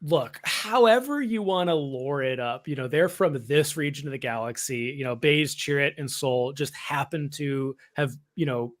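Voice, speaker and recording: A man's voice: mid-range voice, moderate pace, hardly any pauses. A man co-hosting a fan podcast, talking casually and explaining his views in a conversational way. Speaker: podcast co-host. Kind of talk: casual fan discussion of Star Wars.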